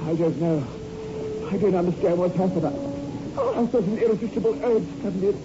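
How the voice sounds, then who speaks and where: An actor playing an anguished, haunted surgeon in a 1960s radio horror drama, speaking in a halting, melodramatic way. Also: male voice, high voice, natural voice. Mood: distraught, confused. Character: anguished surgeon obsessed with a fatal prophecy. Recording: muffled, noisy old radio recording.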